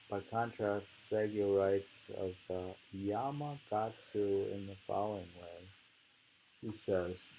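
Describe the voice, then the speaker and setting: Give me a slow, low-voiced man reading aloud. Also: vocal fry, dark tone, low-quality recording. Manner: rambling, musing, pausing to hum and comment. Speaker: reader leading a poetry book discussion. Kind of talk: reading aloud with informal commentary.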